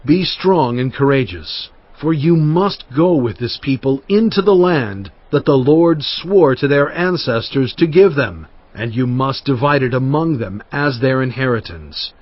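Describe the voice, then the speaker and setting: A man's voice: mid-range voice, animated, measured pace, no room sound. An actor playing an aged prophet and leader, solemn and fervent, addressing his people in a dramatized scripture reading. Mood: encouraging, firm. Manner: solemn, emphatic oratory. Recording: muffled audio with music underneath.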